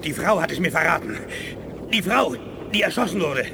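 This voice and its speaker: An actor playing a desperate, betrayed alien prisoner in a radio play, agitated and protesting. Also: male voice, fairly high pitch, animated, normal speed, creaky, noisy background. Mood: strained, pleading. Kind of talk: 1980s German radio play acting.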